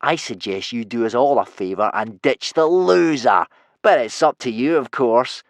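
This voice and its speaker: A man voicing a burly, gloating teenage Viking bully with a sneering, drawn-out taunting delivery in a storybook. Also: medium pitch, animated, dry recording, lightly acted. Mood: gloating menace.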